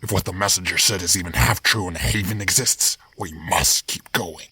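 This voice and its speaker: A man's voice: deep voice, fairly quick, creaky, sharp tone, husky, no room sound, heavily acted. A man voicing a driven wild animal in a dramatic fandub, intense, urgent and solemn. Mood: determined. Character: grim, driven male wild animal with whiskers.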